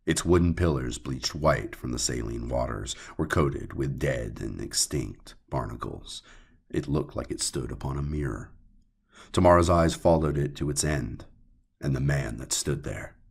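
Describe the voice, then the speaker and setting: Very deep, gravelly male story narrator. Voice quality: gravelly, raspy. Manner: brooding, measured, with deliberate pauses. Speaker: audiobook narrator. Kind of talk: dramatic scripted horror narration.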